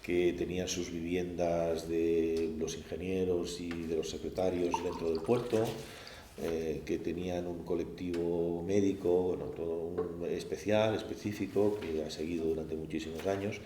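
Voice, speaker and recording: A man in his sixties with a Barcelona Spanish accent, recounting his memories at a panel in a measured, explanatory way, often ending phrases with a questioning tag. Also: low-pitched, level tone, dark tone. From Barcelona, Spain. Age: 60s. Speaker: former port project manager giving testimony. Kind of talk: oral history recollection at a panel discussion. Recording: roomy echo, faint background noise.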